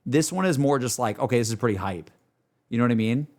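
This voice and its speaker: A man's voice: mid-range voice, lively intonation, fast, a little mellow. A man giving casual, slangy reaction commentary as a streamer, hedging his opinions as he goes. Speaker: streamer reacting to game cutscenes. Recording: music playing behind.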